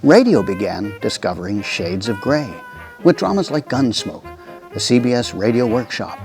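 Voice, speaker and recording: A man hosting with a scripted radio introduction, speaking conversationally straight to the listener. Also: mid-range voice. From United States. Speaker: host.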